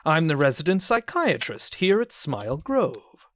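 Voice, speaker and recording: Creepy-nice condescending shrink in a horror audio drama, slow and smug, pitch all over, very dry close sound. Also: male voice, wildly swooping pitch, fairly bright tone, no room sound, heavily acted. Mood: smug cheer. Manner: smug, drawn-out, mock-polite. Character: condescending, falsely cheerful male psychiatrist in horror drama. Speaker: psychiatrist. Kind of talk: theatrical audio drama acting.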